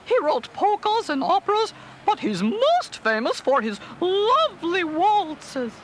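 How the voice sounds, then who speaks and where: A woman voicing an excitable, romantic cartoon cow in a gushing, theatrical way for a children's storybook. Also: very high-pitched, unhurried, a little hiss or hum, exaggerated voice. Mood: chatty. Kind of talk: children's read-along storybook acting.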